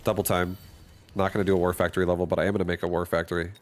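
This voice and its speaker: A man's voice: low voice, little pitch movement, very fast, a little hiss or hum. A man casually thinking aloud and commenting on his own strategy game as he streams it. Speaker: gaming streamer.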